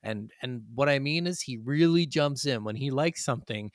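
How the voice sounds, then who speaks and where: A man's voice: medium pitch, moderate pace, no room sound, clean studio sound. A man co-hosting a podcast in casual, jokey, profanity-sprinkled gaming chat. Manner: jokey, freely sweary. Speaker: podcast co-host.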